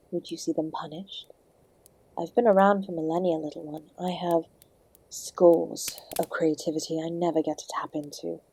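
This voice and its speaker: A woman's voice: lowish voice, dark tone, breathy edge, no room sound, poor audio. A woman playing the Devil in an audio roleplay as a charming, sardonic, ancient hostess who speaks to the listener with genteel, theatrical courtesy. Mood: soft, sympathetic, wicked. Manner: genteel, teasing, theatrical, calling the listener "my dear". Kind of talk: scripted audio roleplay, addressing the listener directly.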